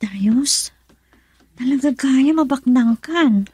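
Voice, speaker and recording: A woman's voice: sits high, slow, a little mellow, breathy edge, no room sound, heavily acted. An actress with an Ilocano accent playing a secretive, insistent young woman in a radio love drama. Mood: curious.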